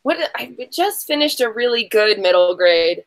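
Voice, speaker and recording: A woman's voice: a little high, lively intonation, normal speed. A woman hosting a casual livestream game show in a chatty, gushing way, rambling and interrupting herself with slangy asides.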